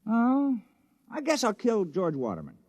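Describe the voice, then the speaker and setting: A man's voice: high-pitched, huge pitch swings, measured pace, darkish tone, exaggerated voice. An actor playing a reluctant, mild village man cast as official criminal, speaking in a plain, hesitant, folksy way in an old radio drama. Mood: uneasy, reluctant. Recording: dull vintage radio recording.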